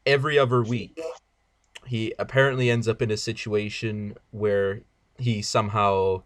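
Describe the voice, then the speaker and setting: Man from Germany, non-native English, low voice, dry close sound, earnest, hesitant. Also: fairly even intonation, normal speed, no echo. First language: not English. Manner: earnest, explains at length with hesitations. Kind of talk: casual chat.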